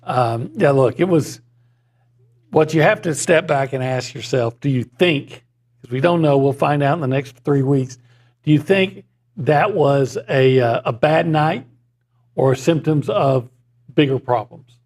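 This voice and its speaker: Gravelly, husky male sports columnist. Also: a little high, darkish tone. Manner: measured, analytical, dry asides. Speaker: sports columnist and regular guest. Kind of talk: casual sports talk chat. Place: broadcast studio.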